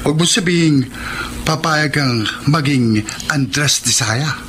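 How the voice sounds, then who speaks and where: A cocky young Filipino pal in a radio drama. His voice is fairly high for a man, teasing and brash, on a clearly noisy recording. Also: slow, a bit bright. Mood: teasing, egging on. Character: brash, teasing young male buddy giving advice.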